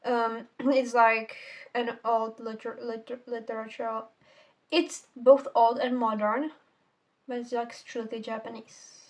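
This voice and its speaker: A woman's voice: a little high, flat delivery, slow. Rambling teenage girl reviewing a book. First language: Czech. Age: teens. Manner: rambling, self-correcting, swears casually. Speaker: book vlogger. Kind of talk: casual book review vlog.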